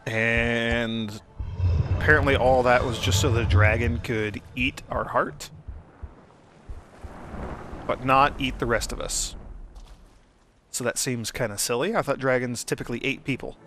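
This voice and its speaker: A man's voice: mid-range voice, fairly even intonation, unhurried, pauses often, a bit bright. A man giving casual, understated and wry commentary as he plays through a video game. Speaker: let's play host. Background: game soundtrack.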